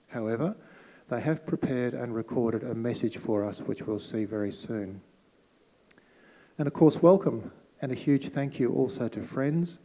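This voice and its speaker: A man's voice: medium pitch, dark tone. Australian man announcing in a reverberant hall. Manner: measured, formal public announcing. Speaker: master of ceremonies. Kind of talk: formal ceremony address. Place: community hall.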